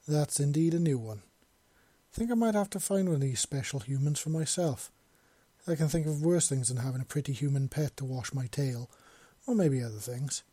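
Guy doing big clawed alien dude for an audiobook, teasing and playful, kinda husky, dry sound. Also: mid-range voice, moderate pace, mellow, no echo, natural voice.

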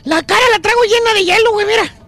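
A man playing a grumpy, stingy older man on a radio comedy show, whining about being frozen in a shrill, creaky put-on voice. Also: quick pace, bright, forceful tone, soft background music, heavily acted. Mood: grumbling. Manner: whiny, grumbling, salty slang.

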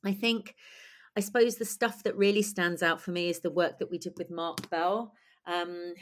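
A woman in her forties with a husky voice and a cold, talking in a lively, chatty way as an interview guest. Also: lowish voice. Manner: chatty, lively, laughs often. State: has a cold. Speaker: guest writer and director. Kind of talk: conversational interview.

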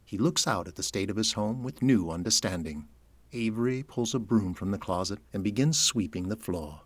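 A man's voice: on the low side, animated, moderate pace, slightly rough. A man narrating an audio drama from a script, in present-tense storytelling. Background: music.

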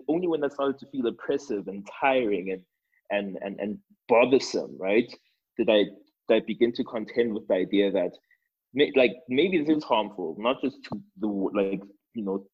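A man speaking reflectively on a panel, repeating words haltingly as he thinks aloud, with a husky voice. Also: lowish voice. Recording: dull lo-fi recording.

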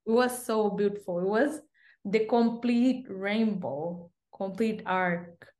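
A woman's voice: mid-range voice, takes it slowly, darkish tone. A woman guest sharing a personal story in a reflective way, often repeating words for emphasis. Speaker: guest, bride-to-be. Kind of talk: casual storytelling.

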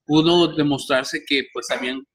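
A man's voice: on the high side, steady tone, moderate pace, a crowd in the background. A man hosting a podcast, telling stories animatedly with many restarts. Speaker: podcast host. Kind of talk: casual interview chat.

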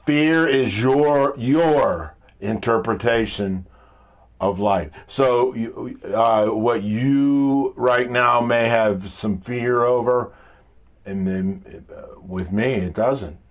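A man with a deep, powerful voice giving an informal motivational talk in an emphatic, halting, blunt way, often repeating himself. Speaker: motivational speaker. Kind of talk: informal motivational monologue with rhetorical questions.

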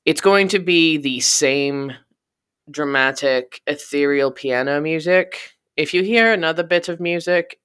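Theatrical, sardonic narrator with a crisp, dry sound. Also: mid-range voice, fairly bright tone, no echo. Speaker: podcast host and narrator. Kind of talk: dramatic scripted storytelling, reading a blog.

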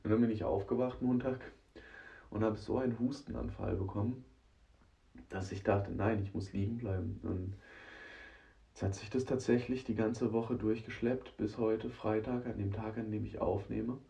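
Man, podcast host, hoarse and stuffed up, gravelly low voice, distant dull mic. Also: steady tone, moderate pace, mellow. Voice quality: rough, scratchy voice. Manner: chatty, addresses listener directly, frequent "ne?". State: hoarse and congested from a cold. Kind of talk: casual solo podcast monologue.

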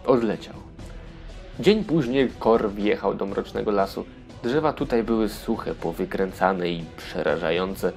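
A man's voice: lowish voice, measured pace, creaky, darkish tone, faint music behind. A man narrating a children's audio fairy tale in a scripted storybook style.

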